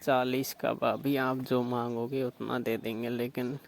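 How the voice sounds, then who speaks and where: A man on a recorded phone call speaks in a gravelly, creaky voice at a mid pitch. He stays insistent and suggestive and keeps asking questions. His intonation is flat, with faint hiss on the line. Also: unhurried. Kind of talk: staged flirtatious phone call.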